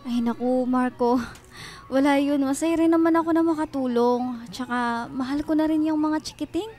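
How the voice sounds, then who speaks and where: Family friend for radio drama female actor: high-pitched, kind, friendly and eager to help. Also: moderate pace, warm tone, natural voice. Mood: friendly, obliging.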